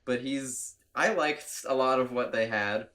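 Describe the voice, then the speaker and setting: A man's voice: medium pitch, measured pace, bright, forceful tone. A man hosting a casual podcast chat, fighting a cold and sounding a little nasal, talking loosely and laughing often. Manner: chatty, laughs often, rambling. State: has a cold. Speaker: podcast host.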